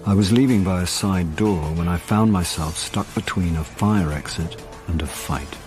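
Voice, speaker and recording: A man plays a world-weary British actor in middle age, low in pitch, in a radio drama. He is dry and self-deprecating, turning hesitant when he talks to others. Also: normal speed, a little mellow, soft background music, natural voice. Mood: wry, confiding. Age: middle-aged. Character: wry, world-weary middle-aged male jobbing actor. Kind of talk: radio drama narration and dialogue.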